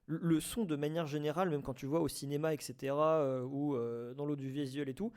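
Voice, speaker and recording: A man with a rather high yet very mellow, soft-edged voice talks in an informal discussion. His speech is colloquial, and he circles back over words as he looks for the right phrase. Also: fairly even intonation, fairly quick, smooth. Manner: colloquial, repeats words while searching for phrasing. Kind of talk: informal debate, casual discussion.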